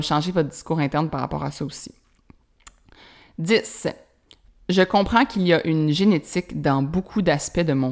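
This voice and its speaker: A woman's voice: low-pitched, a little mellow. A woman in her thirties with a Quebec French accent, hosting a solo podcast in a casual, chatty way full of fillers and English slang. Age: 30s. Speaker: podcast host.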